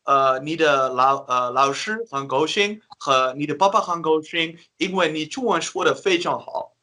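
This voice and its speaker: A man's voice: a little high, unhurried, sharp tone. A man interviewing a girl on video, speaking hesitantly with many filler sounds.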